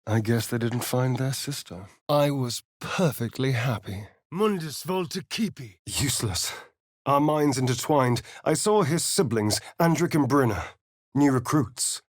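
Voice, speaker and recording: An actor voicing a vain, sardonic vampire spawn rogue in a video game, arch and theatrical with a drawling delivery. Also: male voice, mid-range voice, expressive, a little slow, no room sound, clean studio sound. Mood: forceful spellcasting. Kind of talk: video game character voice lines.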